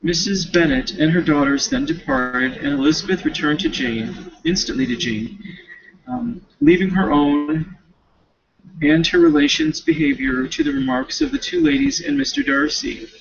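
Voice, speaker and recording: A man with a high, lively voice reads a novel aloud, dropping in casual asides. His delivery is expressive, with the odd stumble, and there is a creaky edge to it. The recording is dull and lo-fi. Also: moderate pace, a little hiss or hum. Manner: expressive, stumbling over words. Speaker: student.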